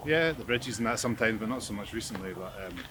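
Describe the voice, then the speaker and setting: A Scottish man with a fairly deep voice chats casually. His delivery is understated and hesitant, recorded amid clear background noise. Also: lively intonation, fast. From Scotland. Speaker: interviewee, landscape photographer. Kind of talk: casual chat. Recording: noisy outdoor street recording.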